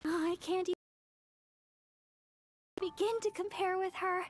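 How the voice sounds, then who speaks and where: A woman voicing a gentle, modest young church healer girl in a video game, earnest and soft-spoken. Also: very high voice, fairly even intonation, measured pace, faint music behind. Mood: modest, reflective.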